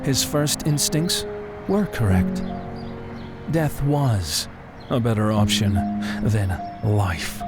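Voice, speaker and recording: A man narrating a scripted story as an audiobook narrator, deliberate and dramatic in delivery. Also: lowish voice, expressive, over loud music.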